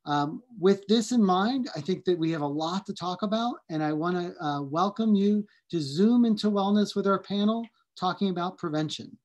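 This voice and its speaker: A middle-aged man, a physician, welcoming people to a community health webinar over a video call, friendly and unhurried with frequent fillers. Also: high-pitched, a little mellow, highs cut off. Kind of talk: opening remarks and introductions.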